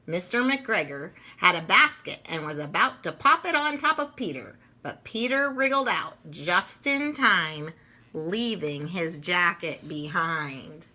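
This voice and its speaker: A woman reading a children's story aloud in an expressive way, with dramatic emphasis for young listeners. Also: fairly low pitch, unhurried. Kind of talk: children's storybook read-aloud with informal introduction.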